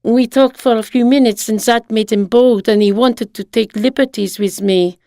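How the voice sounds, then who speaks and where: High-voiced wistful older wife played by a woman. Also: fairly even intonation, normal speed, dark tone, no echo, clean studio sound, lightly acted. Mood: earnest, reminiscing. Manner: earnest, confessional storytelling. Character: elderly French shopkeeper's wife, romantic, wistful, earnest. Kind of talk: dramatic monologue in radio play.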